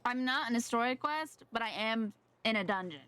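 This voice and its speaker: A woman's voice: a little high, lively intonation, normal speed, bright, forceful tone, smooth, no echo. A woman doing casual live commentary as a game streamer, reacting and thinking aloud as she plays.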